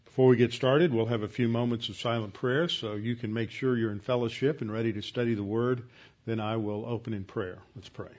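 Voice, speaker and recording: A man, a pastor leading a Bible class with announcements, recited verses and prayer, talking in a halting way with many fillers and restarts. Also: medium pitch, steady tone, darkish tone. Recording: dull lo-fi sound.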